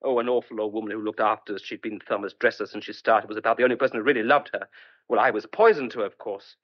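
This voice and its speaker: A man's voice: fairly low pitch, fairly even intonation, fast talker, close to an everyday voice. An actor playing a desperate, stubborn but tender man on the run, speaking earnestly and hesitantly in old-fashioned clipped phrases in a radio thriller. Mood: agitated, bitter. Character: desperate fugitive man wrongly suspected of murder, stubborn, tender. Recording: dull lo-fi old radio drama recording.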